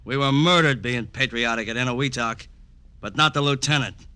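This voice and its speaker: An actor playing a bitter, sardonic ex-soldier with a cruel streak and a mocking manner in a 1950s radio crime drama. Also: male voice, medium pitch, moderate pace, pushed and bright, clean tone, natural voice. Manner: mocking, taunting, sneering.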